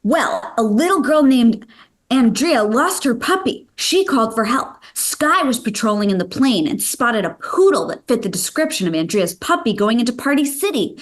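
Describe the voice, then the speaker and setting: A woman's voice: a little high, moderate pace. A woman voicing an eager, earnest boy who leads a puppy rescue team in a children's table read. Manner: animated, earnest.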